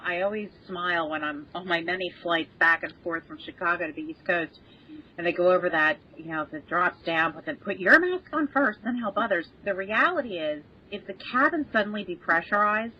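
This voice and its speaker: A woman's voice: on the low side, husky. A woman speaking as a podcast guest, sharing a casual personal observation. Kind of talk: casual anecdotal talk. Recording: slightly dull, limited sound.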